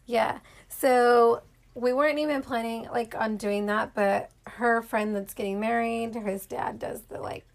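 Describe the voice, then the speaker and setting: A woman's voice: a little high, steady tone, measured pace, gravelly. A woman in her thirties telling a dating story in casual chat, chatty and full of "like". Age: 30s. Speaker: podcast co-host.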